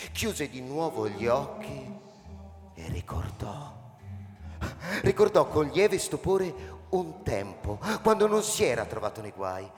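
A man narrating a scripted story in a theatrical, intense, dramatic manner. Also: a little high. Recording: echoey hall with long reverb.

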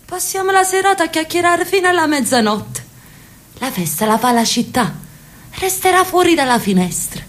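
An aging sister from Naples, fond and stubborn, coaxing her brother in a radio play. Her voice sits fairly high for a woman, with a lilt and warm insistence. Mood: lively. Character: elderly Neapolitan sister, insistent and affectionate. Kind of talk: radio drama acting.

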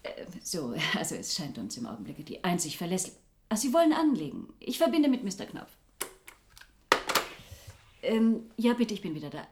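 A woman's voice: lowish voice, big pitch swings, normal speed, long pauses, a little mellow, a little hiss or hum. A woman playing an outspoken, businesslike senior assistant at an investment agency in a German radio drama. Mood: polite, busy.

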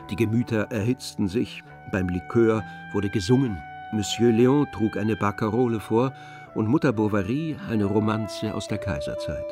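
A man reading scripted literary narration for an audio drama. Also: on the low side.

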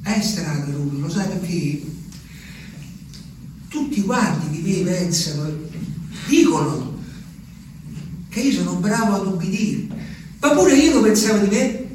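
An actor playing a simple, haunted peasant man in a halting, emphatic theatrical monologue for radio drama. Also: high-pitched, expressive, a little mellow, a little hiss or hum. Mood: bewildered. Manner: halting, emphatic, repetitive storytelling with dramatic swells. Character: simple, haunted Abruzzese peasant man recalling his abandoned wife. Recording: very echoey, distant stage sound with music.

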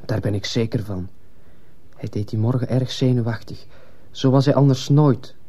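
An earnest schoolboy in a radio drama, played by a man, confiding in his teacher. The voice is middling in pitch and very dark and muted in tone. He sounds respectful and fearful, and a little hesitant. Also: normal speed, lightly acted. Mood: earnest, afraid.

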